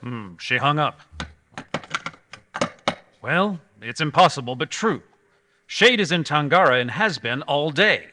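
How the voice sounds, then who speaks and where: Man acting a commanding, bright-voiced radio hero. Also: a little high, expressive, studio quality. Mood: matter-of-fact. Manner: brisk, clipped commands. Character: commanding, decisive adventurer hero leading his young team. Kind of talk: live old-time radio adventure acting. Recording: live stage performance in reverberant hall.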